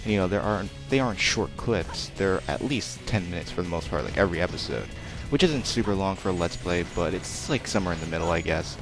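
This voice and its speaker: Young male let's player, very deep and soft-spoken, rambling and self-deprecating, game music loud underneath. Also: lively intonation, fast talker, low-quality recording. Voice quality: naturally quiet voice. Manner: rambling, chatty, self-deprecating, many fillers. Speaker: let's play commentator. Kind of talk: casual gameplay commentary.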